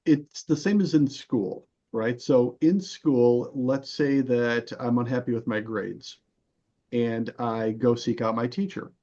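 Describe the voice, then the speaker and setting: A man speaks at an average pitch as an interview guest. He explains like a coach, emphatic and instructive, leaning on rhetorical questions. The sound is somewhat dull and limited in bandwidth. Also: steady tone, slow, warm tone. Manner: didactic, emphatic, uses rhetorical questions and analogies. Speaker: podcast guest, health and fitness coach. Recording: dull, band-limited audio.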